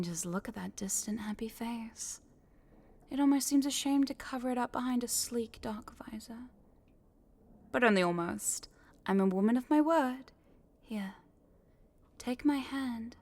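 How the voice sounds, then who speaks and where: A woman's voice: fairly high pitch, natural voice. A woman playing a smug, possessive corporate boss in a scripted audio roleplay, teasing and condescending, sometimes dropping into a whisper.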